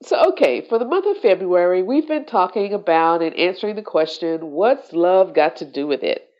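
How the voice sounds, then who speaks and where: A woman hosting a solo podcast, sounding congested and nasal from allergies, talking in a slow, reflective way. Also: on the low side, animated, dull audio. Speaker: podcast host.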